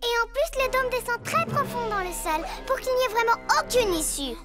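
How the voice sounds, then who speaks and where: A spirited young child speaks in an extremely high cartoon voice. The delivery is theatrical and exclamatory, over loud music. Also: female voice, animated, moderate pace. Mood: gloating. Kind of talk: French dubbed children's cartoon acting. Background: cartoon score.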